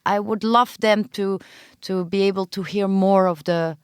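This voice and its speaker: A woman playwright and film director, talking earnestly in an interview and pausing often to find her words. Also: medium pitch, steady tone, clean tone, no echo, studio quality. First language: not Hebrew. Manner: earnest, searching for words with frequent pauses. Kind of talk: podcast interview conversation.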